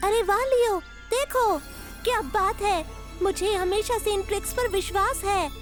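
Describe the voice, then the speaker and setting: An extremely high little-boy tiger cub from a cartoon. He is childlike, excitable and easily daunted, speaking without rushing. Music is clearly audible behind him. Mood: delighted. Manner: childlike, wide-eyed, doubting. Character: small excitable, timid young male tiger cub. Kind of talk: dubbed children's cartoon acting.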